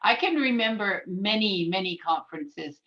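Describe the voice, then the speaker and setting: A woman's voice: medium pitch, expressive, moderate pace, fairly bright tone. Wry woman on a muffled video call. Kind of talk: casual group video chat. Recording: slightly dull video call audio.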